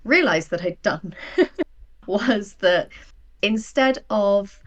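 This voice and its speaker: A woman chatting casually as an interview guest, telling things in an animated, anecdotal way. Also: medium pitch, drawn-out words, dull audio. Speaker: guest, sci-fi writer.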